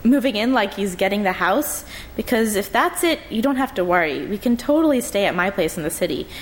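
A woman's voice: mid-range voice, lively intonation, fairly quick, natural voice, low-quality recording. A woman reading aloud and voicing a chatty, oblivious twenty-two-year-old woman who rambles breezily.

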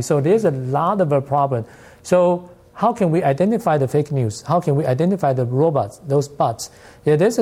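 Mellow-voiced lecturer with a Taiwanese accent. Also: medium pitch. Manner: non-native English with loose grammar, rhetorical questions. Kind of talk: informal academic presentation.

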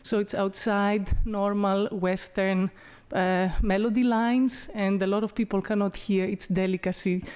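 A woman's voice: mid-range voice, steady tone, measured pace, a little mellow, smooth. A woman professor giving an informal talk about a poem, chatty and explanatory, with small laughs and restarts. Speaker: professor of Byzantine history and classics.

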